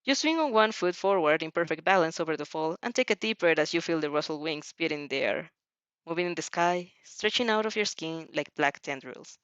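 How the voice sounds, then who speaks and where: A woman's voice: deep voice, lively intonation, brisk, fairly bright tone, smooth, no echo. Woman reading fan fiction, dull dry recording. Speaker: fan fiction reader and reactor. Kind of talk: expressive story reading with casual asides.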